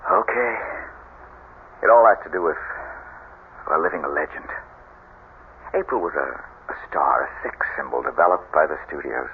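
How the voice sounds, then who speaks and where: A man playing a weary, embittered husband and former stage star in a radio drama, halting and theatrical in delivery. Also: rumbling low. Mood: reluctant confession. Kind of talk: 1970s radio drama acting. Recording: noisy, phone-like old radio broadcast.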